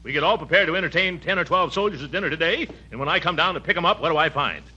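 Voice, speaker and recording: Blustery, stubborn uncle, animated and stammering, 1940s radio comedy on a dull, noisy recording. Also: male voice, fairly high pitch, rapid-fire, breathy edge, heavily acted. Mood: flustered, indignant. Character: blustering, pompous, stubborn middle-aged uncle hunting dinner guests. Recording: muffled, noisy old-time radio recording.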